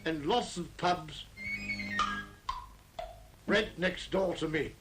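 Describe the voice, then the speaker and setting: An actor playing a plain-spoken, opinionated, pub-loving townsman in a 1940s animated public information film. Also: a little high, drawn-out words. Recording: old 1940s film soundtrack, loud music behind.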